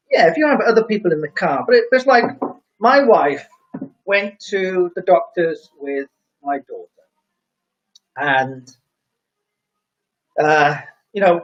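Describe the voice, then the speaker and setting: A high-pitched, chatty man whose faint Welsh lilt is blurred by years of English living hosts a relaxed live stream, reading viewer comments aloud and teasing them with quiz questions. The audio is dull and lo-fi. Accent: faint Welsh mixed with English. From Wales. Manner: chatty and jokey, quizzing viewers.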